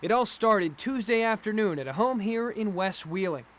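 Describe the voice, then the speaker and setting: A man's voice: high-pitched, animated, moderate pace. A man with an American accent delivering scripted television news narration as a reporter. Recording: faint background noise.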